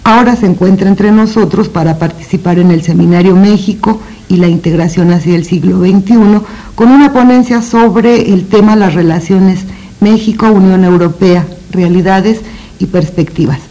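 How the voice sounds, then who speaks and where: A woman hosting a formal interview, introducing her guest in measured tones and posing questions. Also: medium pitch, mellow, low-quality recording. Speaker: interviewer, host.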